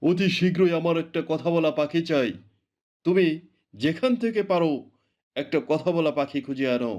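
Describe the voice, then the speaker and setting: Man acting high-voiced, devoted cartoon king. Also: moderate pace, a little mellow, close to an everyday voice. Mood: commanding. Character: devoted king, tender and indulgent husband.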